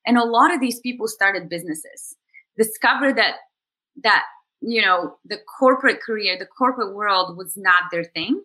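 A bright, clear-toned woman in her thirties, originally from Bulgaria, speaks as a guest on a podcast interview. She tells her story in an unhurried, reflective way, circling back with fillers like "you know" and "kind of." Her voice sits at an ordinary pitch for a woman but rings with a forward brightness. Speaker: guest, executive coach.

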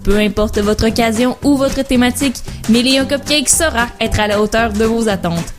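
Female ad announcer, higher voice, polished read over music. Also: fairly quick. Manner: polished, promotional. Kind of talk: scripted commercial read.

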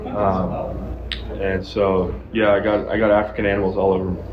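Deep-voiced young man in echoey crowded room. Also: normal speed, highs cut off, a crowd in the background. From Zimbabwe. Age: young adult. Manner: relaxed, many fillers and restarts. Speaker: college football player. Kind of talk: press conference answers.

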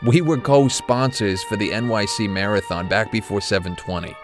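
An actor playing an older, guilt-ridden retired corporate executive who confides haltingly, as in an audio drama interview. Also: male voice, fairly low pitch, over music, natural voice. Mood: grim, reflective. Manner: hesitant, confessional, halting.

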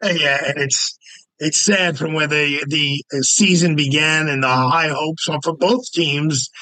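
A man's voice: on the high side, fairly even intonation, measured pace, sharp tone, dry recording, low-quality recording. A middle-aged man, a lawyer guesting on a talk podcast, speaking bluntly and emphatically. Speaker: defense lawyer and radio host, guest. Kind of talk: interview guest.

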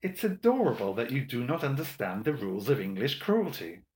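A man voicing an arrogant, mischievous young English gentleman in an audiobook, drawling and mocking, with drawn-out emphatic words. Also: medium pitch, big pitch swings, roomy sound, natural voice. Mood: mock-sympathetic, scornful. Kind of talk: audiobook character dialogue.

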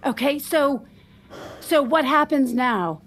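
Hurt clingy wife in an audio drama, highish voice, kinda slow, pushy and pleading. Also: female voice, animated, a bit bright, exaggerated voice. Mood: indignant, jealous. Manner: pressing, questioning, pleading. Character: hurt, clinging, sharp-tongued estranged wife. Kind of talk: naturalistic audio drama acting.

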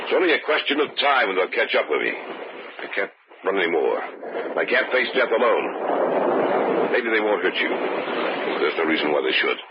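A man's voice: sits low, very animated, normal speed, pauses often. An actor playing a haunted, desperate man in an old-time radio horror drama, grim and commanding, on a muffled noisy recording. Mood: weary, resigned. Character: haunted, desperate man with a gun, resigned to death.

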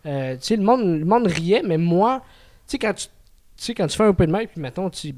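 Young male podcast host, Quebec French, raised pitch, halting and filler-heavy. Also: expressive, darkish tone. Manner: hesitant, fills every pause with "tu sais". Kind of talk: casual chat.

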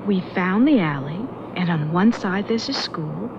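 A woman's voice: on the low side, expressive, unhurried. An actress playing an earnest young woman in a radio drama who persistently pushes to go to the police. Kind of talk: 1970s radio drama acting. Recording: lo-fi old radio broadcast with hiss.

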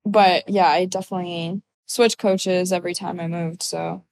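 A woman's voice: fairly low pitch, normal speed, vocal fry, a little hiss or hum. A woman in her twenties from Ukraine, answering interview questions casually with lots of fillers. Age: 20s. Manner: relaxed, uses many fillers like "like" and "so yeah". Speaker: guest, college athlete.

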